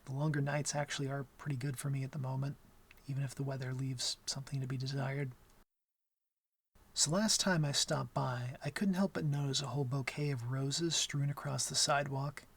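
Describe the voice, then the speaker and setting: Breathy, hesitant young male vampire, played by an actor. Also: mid-range voice, steady tone, brisk. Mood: relaxed, amused. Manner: hesitant, soft-spoken, wry. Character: awkward, shy, gentle young male vampire. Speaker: ASMR roleplay voice actor. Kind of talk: intimate ASMR roleplay, conversational.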